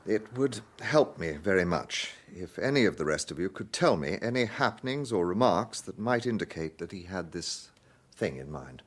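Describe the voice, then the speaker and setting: Gentleman detective, British, lowish pitch, very varied intonation, courteous, measured, radio drama. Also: very animated. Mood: courteous, probing. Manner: polite, measured, apologetic.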